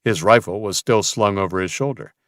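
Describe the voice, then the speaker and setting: A man's voice: on the low side, fairly quick, a bit bright, no echo, studio quality. A man reading a scripted story as a dramatic audiobook narrator.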